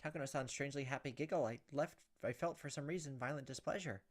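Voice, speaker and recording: A man's voice: medium pitch, fast talker. A man reading a visual novel aloud as a let's player, telling it dramatically and acting out the lines. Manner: expressive, storytelling, acts out the lines. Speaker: video game let's player. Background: game music.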